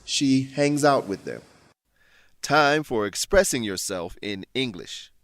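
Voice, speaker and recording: A man hosting and narrating a scripted language lesson, explaining things in a clear, measured teacher's manner. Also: mid-range voice, animated, fairly bright tone, no room sound. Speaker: host and English teacher.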